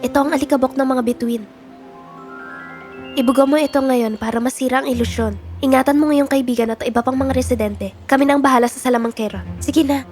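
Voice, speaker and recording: A woman voicing a kind, sincere young heroine girl in a fairy-tale cartoon, with a gentle manner. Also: sits high, fairly even intonation, fast, over music, natural voice. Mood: caring, resolute.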